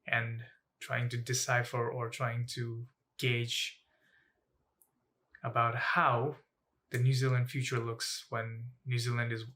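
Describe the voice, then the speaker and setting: A young man, a student, giving a personal advocacy talk in measured, halting phrases with pauses. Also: mid-range voice, fairly bright tone. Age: young adult. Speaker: student speaker.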